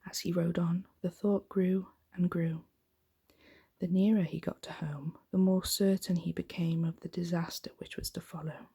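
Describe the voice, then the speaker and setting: A woman gently reading a bedtime story in an unhurried, soft, whispery ASMR style. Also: on the low side, level tone. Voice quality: breathy, whispery.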